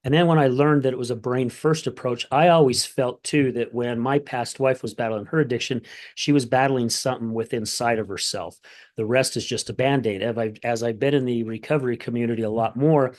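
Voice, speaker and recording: A man hosting a podcast, with a voice of middle pitch. He talks in a friendly, earnest way, reading a sponsor message and welcoming his guest. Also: a little mellow. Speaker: podcast host.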